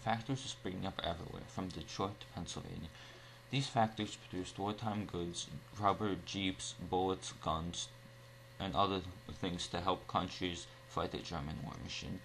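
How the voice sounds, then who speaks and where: Low-voiced man narrating a newsreel, noisy recording. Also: fairly even intonation, moderate pace, gravelly, a bit bright.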